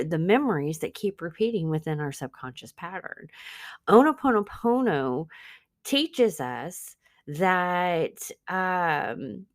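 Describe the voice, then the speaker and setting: A woman's voice: on the low side, no echo, clean studio sound. A woman who speaks English as a second language, hosting an informal spiritual self-help teaching, earnest and conversational, addressing listeners directly. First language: not English. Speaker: podcast host and spiritual business coach.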